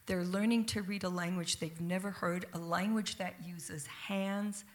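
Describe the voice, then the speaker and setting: Brief: woman narrator, slow unhurried read, some vocal fry, soft measured delivery. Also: fairly low pitch, steady tone. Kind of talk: scripted narration.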